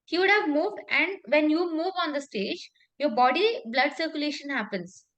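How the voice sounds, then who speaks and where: A woman's voice: high voice, animated, moderate pace, a bit bright, muffled recording. A woman with an Indian accent coaching informally in public speaking, emphatic and repetitive, often checking in with "okay?". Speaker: public speaking coach and talk show host. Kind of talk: informal coaching conversation with anecdotes.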